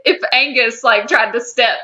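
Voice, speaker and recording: A woman's voice: on the high side, moderate pace, pushed and bright, dull audio. A woman co-hosting a podcast, chatting casually in a giggly, rambling, informal way with some swearing. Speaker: podcast co-host. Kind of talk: casual fan chat.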